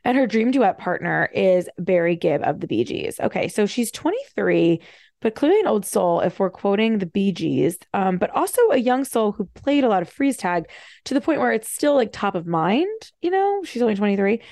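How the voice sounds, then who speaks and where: Creaky-voiced woman podcaster, chatty. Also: medium pitch, no echo, studio quality. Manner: fast-talking, chatty, wry, animated asides. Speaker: podcast host. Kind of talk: casual solo commentary, reading bios with asides.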